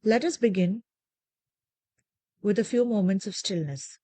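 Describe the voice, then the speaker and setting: A woman leading a guided meditation with gentle, measured, soothing instructions and deliberate pauses. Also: medium pitch, dry recording.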